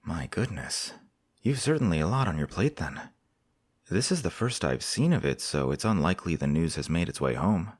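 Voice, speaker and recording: An actor playing a courteous visiting prince in an audio drama, measured and formal in manner. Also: male voice, low-pitched, sing-song, no room sound. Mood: concerned. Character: courteous, composed visiting foreign prince, a candid friend.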